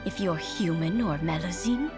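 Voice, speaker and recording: A woman's voice: lowish voice, normal speed, lightly acted. A woman playing a kindly, wise old lady in a video game, speaking tenderly and gratefully over music. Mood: gentle, grateful. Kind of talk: video game character dialogue. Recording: prominent game music underneath.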